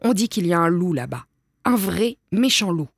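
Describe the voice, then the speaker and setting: A woman voicing a gentle, grumbling adult male wolf in a storybook, calm and measured. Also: on the low side, husky, no room sound, studio quality.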